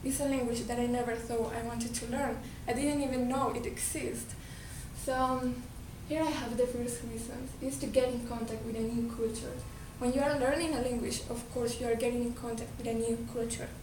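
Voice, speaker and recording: High Colombian-accented woman, echoey hall. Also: fairly even intonation, fairly quick. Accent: Colombian Spanish. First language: Spanish. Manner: earnest, repeats and restarts words. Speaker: speaker giving a talk. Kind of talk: presentation to an audience. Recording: echoey hall, distant mic, background noise.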